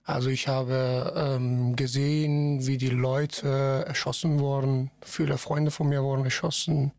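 A man from Iran, a podcast guest talking haltingly with many fillers. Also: mid-range voice, level tone, creaky, darkish tone, no room sound. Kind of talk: casual podcast interview.

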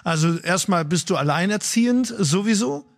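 A male interviewer with a high, gravelly voice. He asks long, chatty questions and thinks aloud with plenty of fillers. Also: animated, normal speed. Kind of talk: interview conversation.

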